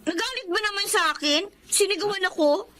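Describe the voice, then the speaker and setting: High-voiced, plaintive schoolgirl daughter. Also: fairly quick, sharp tone, a little hiss or hum. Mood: hurt, puzzled. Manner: plaintive, emotional. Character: hurt, sulky young schoolgirl daughter. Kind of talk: radio drama acting.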